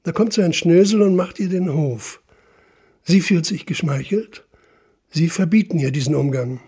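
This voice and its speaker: An actor playing a stern police investigator in a radio drama, laying out accusations insistently and deliberately in a gravelly voice. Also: male voice, fairly high pitch, mellow. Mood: insistent, accusing. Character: stern, relentless police investigator interrogating a suspect. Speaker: narrating police official. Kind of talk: German radio drama, interrogation.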